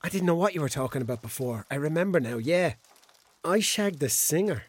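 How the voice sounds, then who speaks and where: A man's voice: a little high, expressive, fairly quick, breathy edge, dry recording. A hungover Irish man in an audio drama, a flippant charmer out of his depth. He speaks colloquially and awkwardly, with a bewildered air. The recording is dry and free of room sound. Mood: bemused. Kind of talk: radio drama acting.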